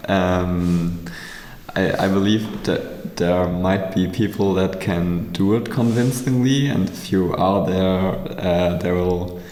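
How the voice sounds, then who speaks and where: Man, 20s, German, deep voice, skeptical, halting, reverb, faint hiss. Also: steady tone, a little mellow. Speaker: podcast guest. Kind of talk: casual discussion. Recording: echoey hall, faint noise.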